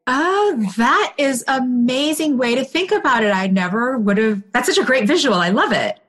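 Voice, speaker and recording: A woman's voice: high-pitched, animated, normal speed, a bit bright. A woman interviewer who came from India as a small child, asking questions and giving short encouraging replies. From India, raised in America. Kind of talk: conversational interview.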